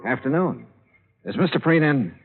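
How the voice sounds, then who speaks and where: A brisk, hard-boiled male investigator, wry and clipped, with very mobile intonation. The vintage radio drama recording is thin and tinny, as if down a phone line. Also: mid-range voice, lively intonation, gravelly, music in the background. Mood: wry, assertive. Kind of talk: old-time radio detective narration and dialogue.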